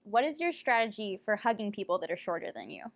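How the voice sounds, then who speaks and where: Fast-talking woman reporter, dry sound. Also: medium pitch, very animated, creaky, dry recording. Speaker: interviewer and reporter. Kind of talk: mock investigative report interview.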